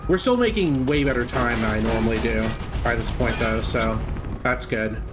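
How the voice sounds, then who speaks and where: Male speedrunner, mid-pitched, informal and self-critical live commentary, prominent game music behind. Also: moderate pace.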